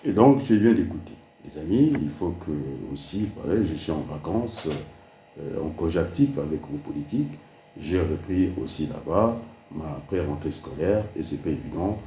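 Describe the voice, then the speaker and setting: A man's voice: a bass voice, animated, normal speed, warm tone, echoey room, slight background noise. A man from Congo with a Congolese French accent, giving an emphatic political address that mixes French and Lingala, with many fillers.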